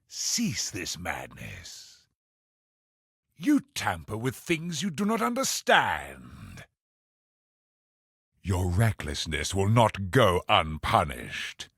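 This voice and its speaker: An actor playing an ancient, imperious male cosmic villain in a video game, older and husky, with portentous, theatrical delivery. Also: on the low side, pushed and bright, dry recording, clean studio sound, heavily acted. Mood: commanding, urgent. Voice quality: gravelly, husky. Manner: slow, portentous, theatrical pronouncements.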